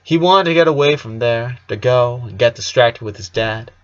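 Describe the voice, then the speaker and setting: Male story narrator, medium pitch, amateur read with the odd stumble, slightly lo-fi sound. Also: moderate pace. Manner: occasional stumbles over words while reading. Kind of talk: fan fiction read aloud.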